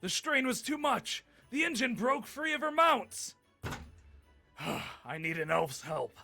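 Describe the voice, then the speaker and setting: A man's voice: very high-pitched, sing-song, slow, vocal fry, bright, forceful tone, faint music behind, heavily acted. A man playing a weary, anxious but kindly old Santa Claus in a live table read, delivered emphatically. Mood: strained, relieved.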